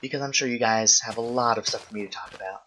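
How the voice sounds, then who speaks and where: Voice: mid-range voice, quick pace, sharp tone, a little hiss or hum, rough recording. A young adult speaker chatting casually as a video host, rambling, thinking aloud and often self-correcting. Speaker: video host answering viewer questions while gaming. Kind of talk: casual rambling gaming chat.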